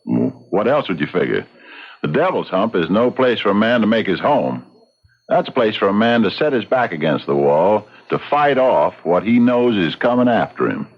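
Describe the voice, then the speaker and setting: A man's voice: on the low side, sing-song, normal speed. An American man acting a plain-spoken frontier sheriff in a radio drama, folksy and wary, with hesitant country turns of phrase. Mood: grim, wary. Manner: folksy drawl, hesitant, colloquial. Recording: dull, lo-fi old radio recording.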